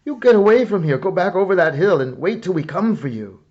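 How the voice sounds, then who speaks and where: A man's voice: high voice, expressive, fairly quick, darkish tone, lo-fi sound, voice pushed up. A man voicing a big, brave, protective soldier in a storybook, speaking with urgent command. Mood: urgent warning.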